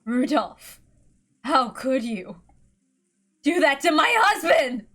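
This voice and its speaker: Woman acting grieving, enraged sister, accusing and shrill. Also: animated, slow, full character voice. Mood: anguished fury. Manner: accusing, tearful shouting. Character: grieving, enraged older sister holding a gun.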